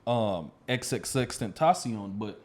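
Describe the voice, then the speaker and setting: A man's voice: on the low side, lively intonation, measured pace. A man hosting a podcast in casual conversation, speaking in an informal, slangy way. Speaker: podcast host. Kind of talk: casual chat.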